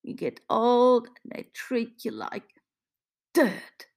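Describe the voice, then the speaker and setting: A woman's voice: fairly high pitch, takes it slowly, a little mellow, voice pushed up. A woman voicing a desperate woman in her eighties with an Italian accent, pleading in broken English and repeating her words. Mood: bitter, despairing. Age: 80s.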